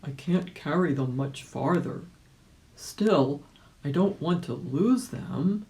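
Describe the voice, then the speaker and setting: A polite old gentleman rabbit from a children's storybook, played by a woman. He sounds earnest and resolute, a plucky and determined elderly fellow. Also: deep voice, lively intonation, takes it slowly, exaggerated voice, poor audio. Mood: worried. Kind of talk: children's storybook read-aloud.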